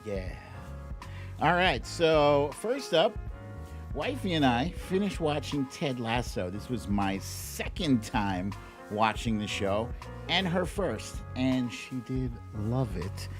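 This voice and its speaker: Animated man hosting a casual livestream. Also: mid-range voice. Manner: informal, playful, with drawn-out words and asides. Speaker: livestream show host. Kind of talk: casual livestream chat with viewers. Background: background music.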